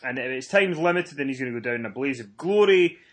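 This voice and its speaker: A man's voice: mid-range voice, lively intonation, fast talker. A man co-hosting a casual review show, talking in an emphatic, effusive, informal way as a fan. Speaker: podcast co-host reviewing wrestling. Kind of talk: casual chat, fan commentary.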